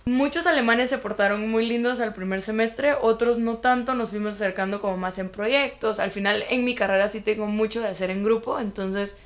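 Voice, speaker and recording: Young Guatemalan woman, podcast guest, animated casual storyteller. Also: medium pitch, brisk, few pauses. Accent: Guatemalan Spanish. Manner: chatty, animated storytelling with quoted asides. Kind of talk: casual chat.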